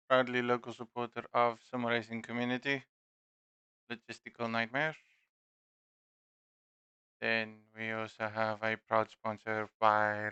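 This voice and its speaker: Middle-aged man, Dutch accent, relaxed sim-racing stream host, bright clear voice, dry, slightly dull headset sound. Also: on the low side, no echo. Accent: Dutch-accented English. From South Africa. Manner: self-deprecating, unhurried, many fillers. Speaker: stream host and league admin. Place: home room at a desk. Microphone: gaming headset.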